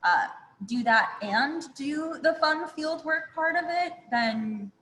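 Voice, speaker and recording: A woman's voice: sits high, lively intonation, slow pace, pushed and bright. A woman hosting a web chat, friendly and laughing often as she asks questions. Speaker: host. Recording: long reverb, faint noise.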